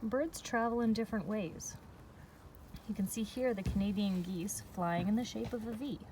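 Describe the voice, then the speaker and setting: A woman teacher reading a picture book aloud to young children, explaining in a measured way with pauses between phrases. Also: medium pitch, darkish tone. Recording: distant mic with faint background noise.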